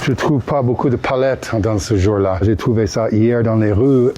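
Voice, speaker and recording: A man in his sixties from Utah, with an American accent, chatting casually and haltingly in French with English words slipped in, recorded outdoors under a bridge. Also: lowish voice, warm tone, a little hiss or hum. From Utah, United States. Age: 60s. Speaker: interviewee. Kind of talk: casual chat.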